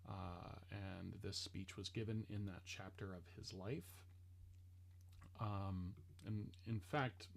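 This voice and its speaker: Conversational man, halting and reflective. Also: medium pitch, vocal fry. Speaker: speaker introducing an ancestor's speech. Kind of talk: casual explanatory talk to listeners.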